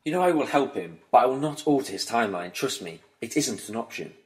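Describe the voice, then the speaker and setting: A man's voice: medium pitch, expressive, quick pace, close to an everyday voice. A man playing a protective, impatient time-travelling adventurer in an audio drama, with a commanding, urgent manner. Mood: wary, testy.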